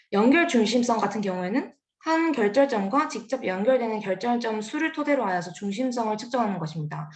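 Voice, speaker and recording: A woman's voice: mid-range voice, echoey room. A young woman presenting her graduate research at a lab seminar, explaining carefully with fillers and self-corrections.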